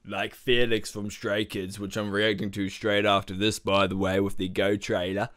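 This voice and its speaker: A young man with a husky morning voice, hosting an excited, slangy music reaction vlog from a small bedroom on a studio mic. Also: lowish voice, moderate pace. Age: young adult. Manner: excitable, animated, exclamatory, slangy. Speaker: reaction channel host. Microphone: studio mic in front, headphones on.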